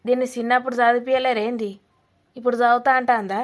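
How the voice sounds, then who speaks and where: Woman acting a high, flat-toned Telangana village granny. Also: quick pace, lightly acted. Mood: mocking, scolding. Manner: blunt, earthy, mocking, informal. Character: blunt, scolding old village grandmother. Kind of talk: rustic village comedy cartoon dialogue.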